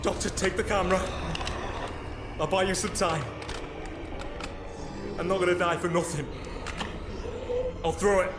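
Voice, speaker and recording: An actor playing an excitable, harried father fighting to survive in an audio drama, with an urgent manner. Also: high voice, a little slow, long pauses, noisy background. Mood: resolute. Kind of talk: full-cast audio drama acting. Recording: echoey room with music behind.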